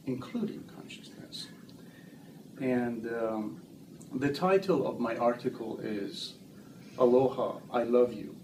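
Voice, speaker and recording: A man, a guest author, speaking in a slow, measured, meditative way in a casual interview. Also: medium pitch, a little mellow. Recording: roomy, distant mic with noise.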